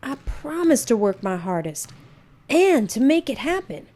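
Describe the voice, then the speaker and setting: Give the storybook an earnest young boy with big dreams played by a woman. The voice is raised and soft-edged, eager and determined, with a pleading way of talking. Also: fairly high pitch, very animated, a little slow, voice pushed up. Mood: earnest, insistent.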